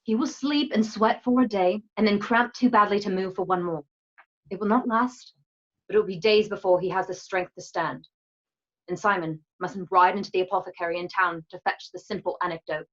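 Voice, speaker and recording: An actress as a solemn, loving and resolute sister in a Western radio drama. Her phrasing is formal and measured, mid-pitched, on a dull home microphone. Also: steady tone, a bit bright, close to an everyday voice. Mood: firm, unapologetic. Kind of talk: online radio play acting.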